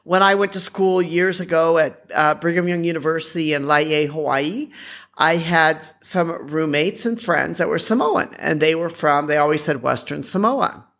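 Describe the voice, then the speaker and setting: A woman's voice: low-pitched, moderate pace, a bit bright. A woman with an American accent hosting an informal explanatory podcast on her own, speaking conversationally and stressing points by repeating them. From United States. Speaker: podcast host.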